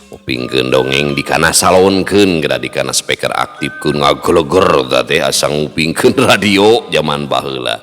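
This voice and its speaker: A man's voice: rumbling low, normal speed, hardly any pauses, a bit bright. A man with a Sundanese accent hosting and narrating folk tales in a casual storyteller style. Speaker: storyteller and host. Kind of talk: folk tale narration and casual host chat. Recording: long hall reverb, music underneath.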